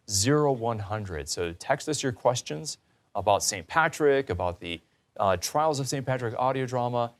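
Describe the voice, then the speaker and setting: Low-pitched man hosting an interview. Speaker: talk show host. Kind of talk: conversational religious interview.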